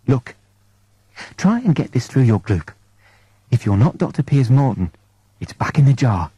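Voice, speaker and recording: A man's voice: mid-range voice, very animated, unhurried, warm tone, husky, no echo, heavily acted. A man voicing a brusque, impatient woman crew member with a curt, menacing manner in a science fiction audiobook. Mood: threatening.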